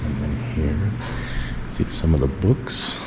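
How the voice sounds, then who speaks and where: Male vlogger, very deep, low-key walk-through narration, echoey with loud road noise. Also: slow. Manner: low-key, hushed indoors, filler-heavy. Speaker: vlogger filming a public building. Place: outside and inside a public library.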